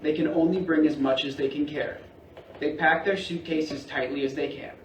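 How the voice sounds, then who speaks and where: A man's voice: on the high side, fairly even intonation, moderate pace, muffled recording. A man reading scripted stage directions as narrator at a staged play reading in a roomy theatre. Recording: roomy theatre, distant mic, faint noise.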